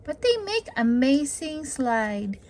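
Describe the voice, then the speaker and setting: A woman's voice: sits high, very animated, slow, a little mellow, a little hiss or hum. A woman reading a picture book aloud to children as a storytime host, talking to them directly in a sing-song way.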